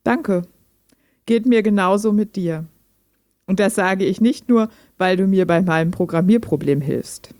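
A woman's voice: medium pitch, a little slow, dark tone, close to an everyday voice. Woman playing lonely coder gal in an audio drama, kinda dry and guarded, mid-range voice. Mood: teasing, earnest. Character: lonely, reserved woman who programs for fun. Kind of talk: amateur audio drama dialogue, read chat.

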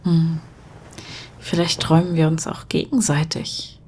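A woman acting a tender, thoughtful character in an intimate audio spy drama, speaking softly and gently probing. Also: deep voice, level tone, unhurried, a little hiss or hum. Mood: pensive.

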